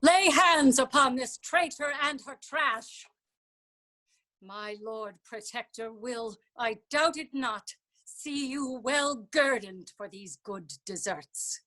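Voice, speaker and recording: A woman playing a stern, imperious royal judge, declaiming formal, measured and accusatory verse in a staged play reading. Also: high-pitched, pushed and bright, full character voice.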